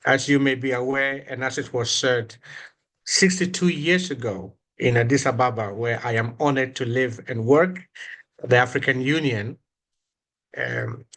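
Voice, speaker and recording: A man from northern Ghana with a Ghanaian accent, giving a slow, reflective prepared talk at a commemoration event, lifting his voice on key words. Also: medium pitch, expressive, a bit bright. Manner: slow, deliberate, with emphatic rises on key words.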